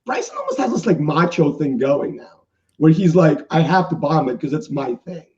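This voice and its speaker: A man with a New York accent and a raspy voice, chatting casually as a golf guest, laid-back and rambling. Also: a little high, wildly swooping pitch, normal speed, darkish tone, distant mic, highs cut off. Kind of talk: casual sports chat.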